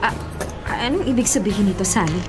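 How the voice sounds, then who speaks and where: A woman's voice: medium pitch, lively intonation, fast talker, music in the background, close to an everyday voice. Snappy, bitter young Filipino woman, played by an actress. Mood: flustered. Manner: haughty, exclamatory, curt. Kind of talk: Filipino radio drama acting.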